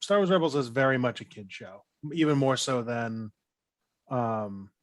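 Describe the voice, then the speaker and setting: A man's voice: mid-range voice, animated, normal speed, dry recording. A man speaking as a podcast panelist in casual group chat, hesitant and often rewording his phrases.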